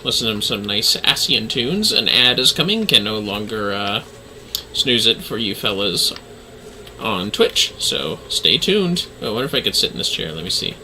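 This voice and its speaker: A man's voice: lowish voice, sing-song, moderate pace, sharp tone, a little hiss or hum, poor audio. A man giving casual livestream commentary on a game, with playful, theatrical asides.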